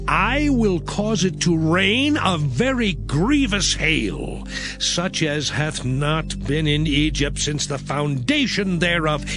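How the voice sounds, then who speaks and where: A stern prophet declaims in a scripture drama. His voice sits fairly high for a man and swings widely in pitch, with deliberate, weighty delivery over music. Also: heavily acted. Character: Moses, stern male prophet delivering warnings.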